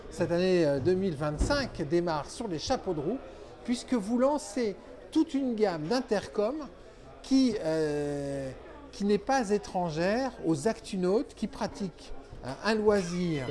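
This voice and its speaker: A man's voice: high voice, darkish tone. A man hosting a product interview, speaking in an enthusiastic, exclamatory way with short interjections. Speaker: interviewer, show host.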